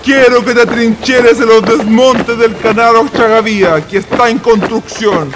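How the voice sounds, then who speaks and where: An actor playing a bold military leader giving orders in a historical radio drama, dramatic and commanding. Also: male voice, extremely high pitch, steady tone, a little slow, background noise. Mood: commanding, grave. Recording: loud music behind.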